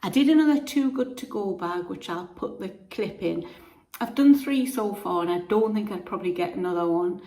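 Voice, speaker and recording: A woman with a croaky voice from being unwell, chatting to camera in a homely, rambling way with frequent restarts. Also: mid-range voice, lively intonation, normal speed, warm tone. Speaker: vlogger. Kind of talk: casual chat to camera. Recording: echoey room.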